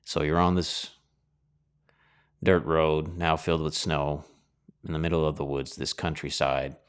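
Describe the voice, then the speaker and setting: A man with a very low, smooth and resonant voice talks in a loose, reflective monologue. He reminisces with plenty of ums and ahs. He is middle-aged and hosts his own show. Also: a bit bright. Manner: rambling, nostalgic, with many fillers. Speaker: host.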